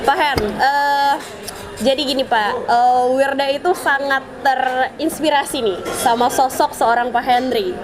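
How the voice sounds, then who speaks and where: Young woman vlogger interviewing her guest, playful and giggly, high voice, crowd chatter behind. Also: steady tone, measured pace. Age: young adult. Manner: chatty, playful, laughs often, addresses viewers as guys. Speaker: channel host, interviewer. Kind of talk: casual vlog interview.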